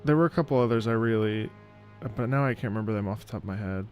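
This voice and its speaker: A man's voice: fairly low pitch, fast talker, highs cut off. A man chatting casually as a gaming streamer, rambling and thinking aloud. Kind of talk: casual live gameplay chat. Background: video game music and dialogue.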